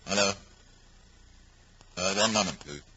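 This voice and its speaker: An actor playing a proud, defiant foreign gentleman under suspicion in an old-time radio crime drama, formal and indignant. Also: deep voice, measured pace, dull audio. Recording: noisy, crackly vintage radio recording.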